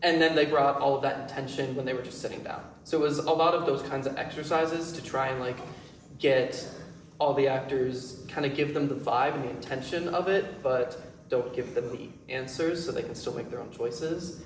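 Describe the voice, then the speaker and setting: A man's voice: mid-range voice, fairly even intonation, moderate pace. A man speaking as a playwright and director at an audience Q&A, explaining things earnestly. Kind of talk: audience Q&A after a staged reading. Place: roomy indoor performance space. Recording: echoey room, distant mic, background noise.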